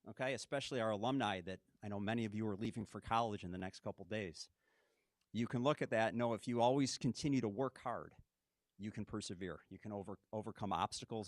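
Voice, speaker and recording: A man's voice: on the low side, no room sound, studio quality. A man, the head coach, giving an earnest speech to his team at a ring ceremony, often checking in with "okay?".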